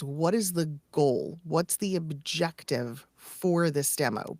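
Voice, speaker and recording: A woman's voice: lowish voice, no room sound. A woman co-hosting a casual podcast discussion, explaining things emphatically and reasoning through examples. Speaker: podcast co-host and voice-over coach.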